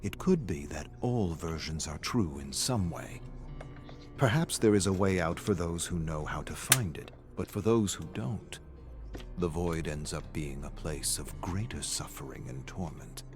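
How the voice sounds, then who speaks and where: Male narrator, very low register, measured scripted storytelling of journal entries, music bed behind. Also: lively intonation. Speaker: reader of game lore entries.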